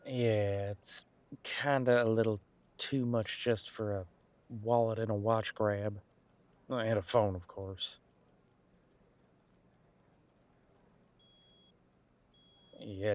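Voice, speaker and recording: A low-pitched male police detective, acted by a man in an audio drama. He is casual and wry, thinking out loud with loose, hesitant phrasing. The sound is very dry, with no room around the voice. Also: no echo, close to an everyday voice. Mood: musing, wry. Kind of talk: one-sided conversational audio roleplay.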